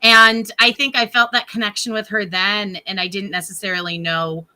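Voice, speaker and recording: Thirties woman, guest on a chat show: very bright, piercing tone, talkative, laughs easily. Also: medium pitch, little pitch movement, normal speed. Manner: chatty, laughs often. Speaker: guest, ghost tour guide. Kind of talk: casual interview chat.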